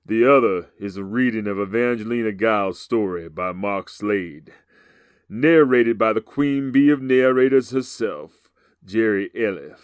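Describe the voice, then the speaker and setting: A man's voice: lowish voice, measured pace, clear, resonant tone, no room sound, natural voice. A man playing a creepy, lewd old man who hosts a comedic horror radio show in a folksy, leering drawl. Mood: sly, lewd. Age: older.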